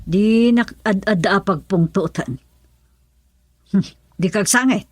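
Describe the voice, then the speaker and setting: A woman's voice: mid-range voice, slow pace. A woman acting a stern, hot-tempered mother in an Ilocano radio drama, scolding emphatically. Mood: demanding. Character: stern, hot-tempered mother confronting her daughter and her suitor.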